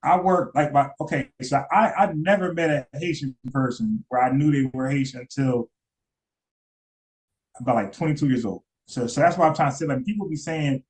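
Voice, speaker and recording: A man's voice: a little high, brisk, a little mellow, rough recording. A man giving casual live-stream commentary, rambling, repeating words and asking his chat questions. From United States.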